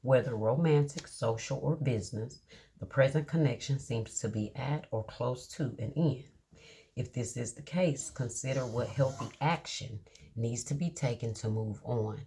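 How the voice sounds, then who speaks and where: A woman giving a casual tarot reading to her viewers, reading card meanings aloud slowly and checking in with a reassuring "okay?". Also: extremely low pitch, mellow, slight background noise. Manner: drawn-out, reassuring, many "okay?" check-ins. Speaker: tarot reader.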